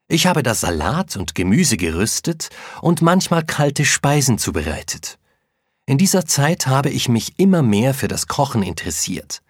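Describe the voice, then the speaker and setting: An actor playing an Ecuadorian cook in his late thirties, telling his story in a plain, measured way. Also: lowish voice, slightly rough, no echo, clean studio sound, full character voice. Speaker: interviewee in textbook listening exercise. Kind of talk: scripted first-person account.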